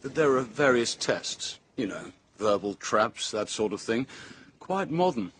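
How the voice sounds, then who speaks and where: An actor playing a brisk, condescending modern police inspector with a clipped, self-satisfied British voice, in a radio drama. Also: male voice, medium pitch, expressive, a bit bright, slight background noise. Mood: smug.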